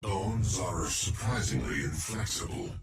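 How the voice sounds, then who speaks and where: An actor voicing a male alien eyeball creature, a cold, curious scientist who speaks in detached, ominous pronouncements. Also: expressive. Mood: coldly clinical. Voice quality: deep, slow, gravelly and clinical. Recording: roomy game audio with crowd noise behind.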